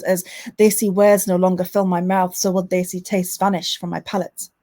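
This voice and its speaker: A woman poet, sitting at a mid pitch. Between poems she talks to her online audience in a halting way, full of "um"s. When she reads, her delivery turns rhythmic and impassioned. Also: dark tone. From Manchester, England. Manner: hesitant, filler-heavy chat between poems. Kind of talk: spoken word poetry reading with chat to an online audience.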